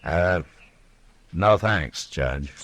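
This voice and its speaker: A man's voice: low-pitched, lively intonation, drawn-out words, a bit bright, heavily acted. A man playing a stoic Old West marshal in a radio western, speaking in a laconic, dryly sceptical way. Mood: dry restraint. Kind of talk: 1940s-50s radio western acting. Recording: noisy, crackly old radio recording.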